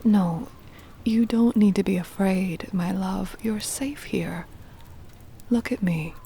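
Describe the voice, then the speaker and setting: A woman voicing a possessive, doting vampire mistress in a soft, breathy ASMR roleplay, soothing, hypnotic and commanding. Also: medium pitch, roomy sound, slight background noise. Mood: concerned, possessive. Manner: slow, soothing, hypnotic, controlling. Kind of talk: ASMR roleplay, intimate whispering and murmuring.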